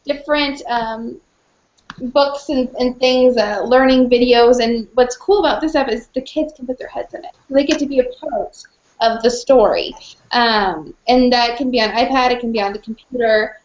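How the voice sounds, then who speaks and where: Female co-host, high voice, conversational, dull lo-fi call sound with room echo. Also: steady tone, normal speed. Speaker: podcast co-host and educator. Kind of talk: casual chat, recommending tools. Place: small indoor office, video call. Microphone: shared computer microphone. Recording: roomy, dull lo-fi video call.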